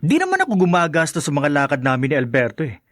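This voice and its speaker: A man's voice: on the high side, wildly swooping pitch, fast, fairly bright tone, no room sound, clean studio sound. A man playing a smug, dismissive schemer in a radio drama. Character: smug scheming husband, Alberto's friend.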